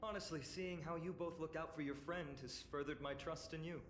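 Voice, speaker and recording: A man's voice: on the high side, steady tone, a little fast, close to an everyday voice. A young man voicing an earnest, friendly male hero who speaks sincerely and thoughtfully. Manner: warm-hearted, sincere, reflective. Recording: echoey, faint game music behind.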